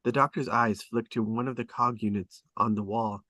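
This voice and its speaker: A man reading stage directions aloud at a script table read, in a deliberate, descriptive way. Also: medium pitch, steady tone, bright, forceful tone, no room sound.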